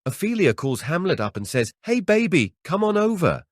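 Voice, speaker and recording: A man's voice: high-pitched, big pitch swings, normal speed, dry recording, clean studio sound, voice pushed up. A man voicing a playful young woman who tells a teasing joke and mimics lovers.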